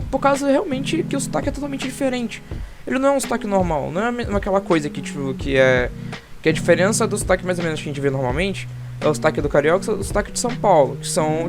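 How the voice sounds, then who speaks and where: A Brazilian teenage boy with a notably high voice talks through a school project answer, rambling and restarting his sentences. Clear guitar music runs underneath him the whole time. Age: teens. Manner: rambling, hesitant explaining with restarts. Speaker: student presenter.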